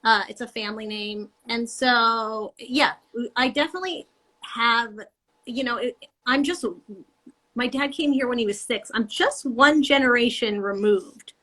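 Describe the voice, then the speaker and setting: A middle-aged woman with a bright, slightly high voice talks casually over a video call. She is animated and laughs easily, and her speech comes through a handheld mic. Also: a little slow. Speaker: guest artist.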